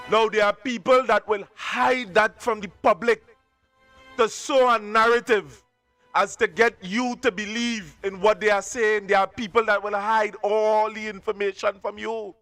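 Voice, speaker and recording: A man's voice: sits high, fairly bright tone. Fiery Trinidadian man ranting live. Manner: fiery, scolding, uses local dialect, repeats himself. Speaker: political commentator streaming live. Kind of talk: live political rant.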